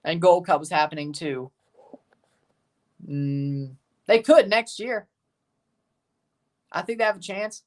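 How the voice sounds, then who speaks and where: A man's voice: sits high, slow pace, pauses often. Southern American male streamer, loose and chatty, mild cursing, a bit distant from the mic. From United States. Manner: rambling, casual, mild swearing. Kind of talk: live match watchalong chat.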